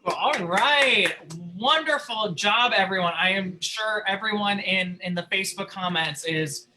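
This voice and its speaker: A man hosting an informal post-show discussion, talking in halting, repeated phrases. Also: high voice, noisy background. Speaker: host and moderator.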